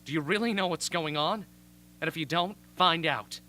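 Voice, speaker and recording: A man's voice: a little high, moderate pace, noisy background, close to an everyday voice. A man playing an earnest, strained young captive pleading urgently in a radio drama. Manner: urgent, emphatic, insistent. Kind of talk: amateur radio drama acting.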